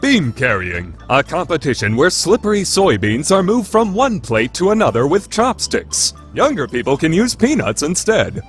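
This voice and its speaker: A man's voice: fairly high pitch, huge pitch swings, normal speed, hardly any pauses, fairly bright tone, soft background music. A man playing a bombastic, deadly serious narrator in an anime radio play. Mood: grandly serious. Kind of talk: dramatic narration for anime fan dub.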